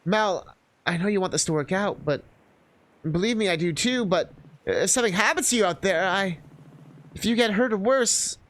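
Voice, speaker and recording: A man voicing a protective, anxious young woman adventurer in a fantasy story, earnest, gentle and hesitant with a loved one. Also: sits high, moderate pace. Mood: worried.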